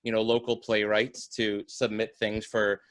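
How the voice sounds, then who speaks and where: Halting man, lowish voice, dry sound. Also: flat delivery, fairly bright tone, no room sound. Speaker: theater producer. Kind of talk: casual panel talk. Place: video call.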